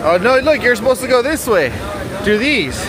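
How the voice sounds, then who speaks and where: A man's voice: extremely high pitch, big pitch swings, normal speed. A man filming his kids at a fair, playfully teasing them and cheering them on. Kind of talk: casual family vlog. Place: outdoors at a busy fairground. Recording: loud crowd and fair noise.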